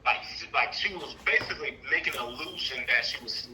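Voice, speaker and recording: A male caller with a strikingly bright, forceful voice and medium pitch tells a personal story. He speaks colloquially and circles around details haltingly, over a call with slight background noise. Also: lively intonation. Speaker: caller, trucking business owner.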